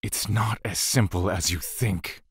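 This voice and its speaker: An actor playing a stern, dutiful god of death in a fantasy audio drama, speaking in a stiff, curt, commanding manner. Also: male voice, low-pitched, measured pace, breathy edge, dry recording, clean studio sound, exaggerated voice. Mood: stern, grave.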